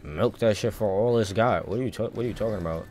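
A man's voice: on the low side, fast, a little mellow. A man giving casual, slangy gaming commentary as a live streamer, muttering reactions as he plays.

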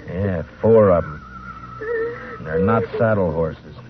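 Low-voiced frontier marshal. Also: male voice, slow, music in the background. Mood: grave, steady. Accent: American. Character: calm, firm frontier US Marshal, a steady lawman. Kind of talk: 1950s radio western acting. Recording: tinny, noisy vintage radio recording.

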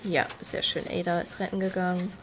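A woman's voice: on the low side, flat delivery, fairly quick, faint music behind. A woman with a stuffy, slightly nasal voice from a cold, chatting casually while she plays and explains game mechanics. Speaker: gaming video creator. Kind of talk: casual gameplay commentary.

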